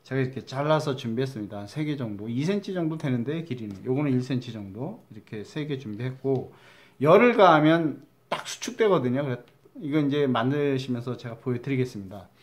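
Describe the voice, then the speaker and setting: A man's voice: medium pitch, animated, a little fast. A middle-aged man from Chungcheong with a Chungcheong Korean accent, demonstrating a recycled-materials craft step by step as a crafts teacher, chatty and repeating key words. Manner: chatty, repeats key words, drops to a mutter while measuring.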